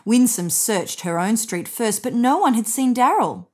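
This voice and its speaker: A woman's voice: fairly high pitch, lively intonation, a little slow. A woman in her thirties reading a children's story aloud expressively as a narrator. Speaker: storybook reader. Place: indoors on a bed.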